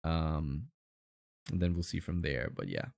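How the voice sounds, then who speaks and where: A man with an Italian accent, a native Italian speaker, chatting casually and in a friendly, halting way as a game streamer. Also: extremely low pitch, big pitch swings, gravelly, a little mellow. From Italy. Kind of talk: casual livestream chat.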